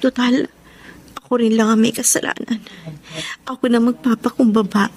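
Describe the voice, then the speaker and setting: A woman's voice: a little high, level tone, moderate pace, a little mellow, close to an everyday voice. An actress playing a heartbroken, remorseful young woman in a Filipino radio drama, emotional and earnest. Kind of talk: Filipino radio drama acting with letter narration.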